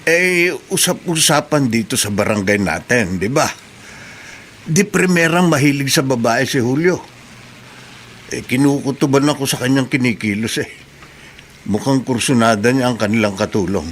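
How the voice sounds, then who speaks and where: A man's voice: medium pitch, moderate pace, a bit bright, slight background noise, natural voice. An actor with a Filipino accent playing a concerned, suspicious workman who speaks earnestly in a radio drama. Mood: worried, confiding. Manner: earnest, confiding. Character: concerned, suspicious male auto-shop worker, adult.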